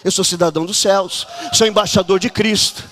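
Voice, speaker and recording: A man from Brazil preaching a fervent charismatic sermon at a church conference, often shouting and urging the congregation to act. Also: high voice, steady tone, fairly quick.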